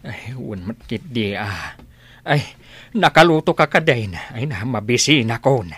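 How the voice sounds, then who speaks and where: Husky, slow old husband. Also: male voice, medium pitch, animated, fairly bright tone. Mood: fond, weary. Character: mild, anxious old village husband and father. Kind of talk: radio drama acting.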